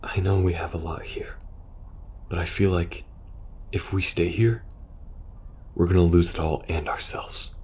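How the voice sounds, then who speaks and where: A man playing a war-weary, protective soldier in an intimate ASMR audio roleplay, speaking earnestly and hesitantly, sometimes hushed. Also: deep voice, flat delivery, a little slow, long pauses, slight background noise. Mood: worried, pleading. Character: war-weary young male soldier pleading with best friend, protective, earnest.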